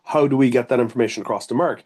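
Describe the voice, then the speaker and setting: A man's voice: mid-range voice, rapid-fire, darkish tone. An Irish man, an occupational therapist, giving earnest, rambling interview answers full of restarts and fillers.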